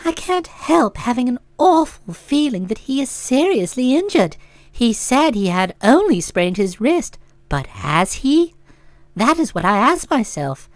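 Brief: woman reader doing a soupy, sentimental young miss, high and lilting, dry booth sound. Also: no room sound. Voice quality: soupy, trickly voice.

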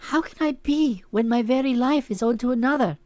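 A man's voice: very high voice, a little fast, a little mellow, no echo, voice pushed up. A man reading aloud voices a troubled young wife, raising his voice into earnest, pleading questions.

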